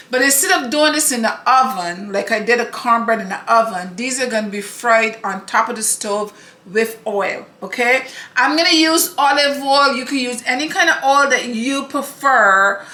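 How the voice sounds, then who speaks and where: Woman, cooking host, chatty and affectionate, crisp bright voice, slightly high, echoey kitchen with dishes clattering. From Barbados. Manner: chatty and affectionate, often checks in with "okay?". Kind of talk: home cooking demonstration. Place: home kitchen.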